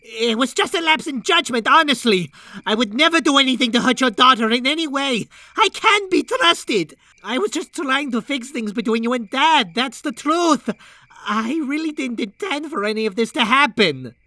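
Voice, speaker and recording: A man voicing a bright, nervous, stammering cartoon young man. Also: very high-pitched, expressive, normal speed, no room sound, full character voice. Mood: pleading. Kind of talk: fan comic dub voice acting.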